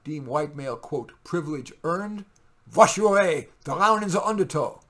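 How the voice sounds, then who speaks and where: A man's voice: on the high side, expressive, moderate pace, fairly bright tone. A man reading his own poetry, theatrical and emphatic in the verse, with casual asides in between. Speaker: poet reading own work.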